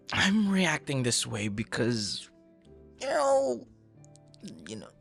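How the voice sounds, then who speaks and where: A dorky, bashful young man, acted by a male performer in an intimate romantic audio roleplay. He stammers through his words at an unhurried pace, his pitch jumping around and often dropping into a creaky fry. He sounds awkward and sweetly smitten. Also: fairly bright tone, exaggerated voice. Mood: bashful, flattered. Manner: stammering, bashful, awkward, breathy laughs and sighs. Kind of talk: ASMR boyfriend roleplay acting.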